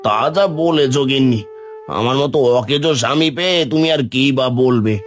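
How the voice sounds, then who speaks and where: A man's voice: mid-range voice, moderate pace, natural voice. A man voicing a guilt-ridden, mild poor farmer husband in a cartoon, concerned and self-blaming. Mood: remorseful. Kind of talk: Bengali cartoon voice acting. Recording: music behind the voice.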